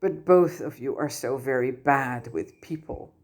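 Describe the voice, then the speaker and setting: A woman's voice: a bass voice, a little slow. A woman voicing a kindly but firm man, a wizard teacher and mentor, in an audiobook story. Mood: gentle, sympathetic. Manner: gentle, patient, firm.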